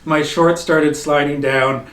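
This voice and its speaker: A man's voice: fairly high pitch, fairly even intonation, takes it slowly, a bit bright. A middle-aged man co-hosting a casual chat, telling stories and laughing often. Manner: chatty storyteller, laughs often. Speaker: co-host. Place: wood-panelled cabin room, seated at table. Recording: roomy, distant, faint hiss.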